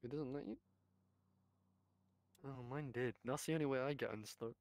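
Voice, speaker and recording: A man's voice: mid-range voice, moderate pace, a little mellow, no room sound. A man talking casually in the voice chat of an online roleplay game.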